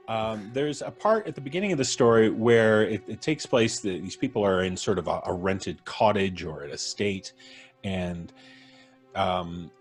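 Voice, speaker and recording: A man hosting a casual live stream, presenting in a courteous, unhurried way. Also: mid-range voice. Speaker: podcast host introducing the cast.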